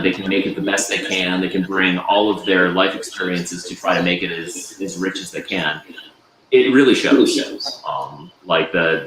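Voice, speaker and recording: A man with a deep voice chatting casually as a guest on a video call, relaxed and rambling with many fillers. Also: echoey room, slight background noise, rough recording. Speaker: guest presenter.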